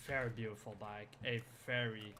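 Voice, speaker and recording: A man's voice: on the low side, little pitch movement, moderate pace, background noise. A young man chatting casually on a live stream while gaming, rambling through stories and answering chat by name.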